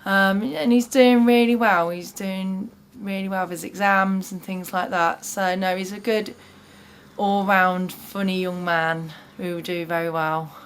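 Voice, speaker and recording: A woman with an English accent chats warmly about the young man she works with, dotting her talk with fillers. Her voice sits at an ordinary middle pitch. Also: fairly even intonation, a little slow, slight background noise. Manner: warm, chatty, with fillers. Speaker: advocate. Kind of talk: interview testimony.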